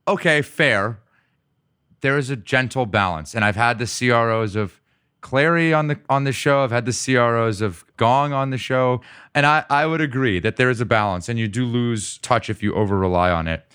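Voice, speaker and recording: A man hosting a business podcast, asking methodical, measured questions of his guest. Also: mid-range voice, fairly bright tone, smooth, dry recording, clean studio sound. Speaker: podcast host.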